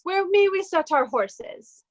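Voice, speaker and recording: Woman playing a very high-pitched, haughty cowardly steward. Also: lively intonation, slow pace, muffled recording, full character voice. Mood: polite, affronted. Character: Oswald, haughty cowardly male steward, easily panicked. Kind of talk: reader's theatre Shakespeare acting.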